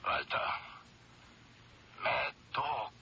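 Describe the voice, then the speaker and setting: An actor playing a menacing foreign blackmailer on the telephone in a 1970s radio drama, speaking in stilted, insistent phrases. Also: male voice, drawn-out words. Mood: insistent, threatening. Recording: noisy dull old radio recording.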